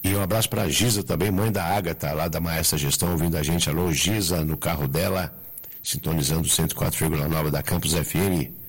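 A Brazilian man hosts local radio in a very low, rough voice. He is hoarse with a cold and speaks in a folksy, chatty way, often checking in with his listeners. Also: steady tone, a little fast. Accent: Brazilian Portuguese. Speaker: radio host. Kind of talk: chatty live local radio hosting with sponsor reads.

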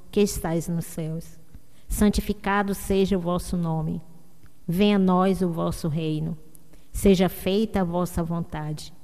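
A woman's voice: lowish voice, warm tone. Solemn woman leading radio prayers. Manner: slow, solemn, intoning. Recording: long reverb.

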